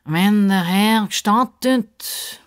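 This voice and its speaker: Woman putting on a pompous, slow-talking male crocodile. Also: medium pitch, clean tone, voice pushed up. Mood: grandly self-important. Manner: pompous, drawn-out, over-polite formal speech.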